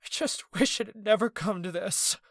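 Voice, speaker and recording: A man voicing a grief-stricken young man who cries and shouts in a high, strained, breaking voice. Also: moderate pace, gravelly, no room sound, clean studio sound, exaggerated voice. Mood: sobbing. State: crying.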